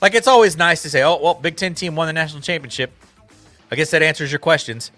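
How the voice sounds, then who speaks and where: A man with a sharp, bright voice talks sports emphatically, leaning on plenty of "like"s as he goes. Faint music plays under him. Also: on the high side, fast talker. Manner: emphatic, filler-heavy with many "like"s. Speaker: co-host. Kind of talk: casual sports chat.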